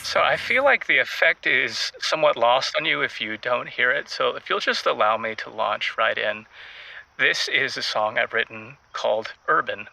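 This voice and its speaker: A man playing a pompous, florid writer calling a radio advice show, speaking in ornate phrases with theatrical pauses. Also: medium pitch, expressive, creaky, sharp tone, full character voice, poor audio. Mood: pompous, earnest. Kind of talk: improvised comedy radio call-in.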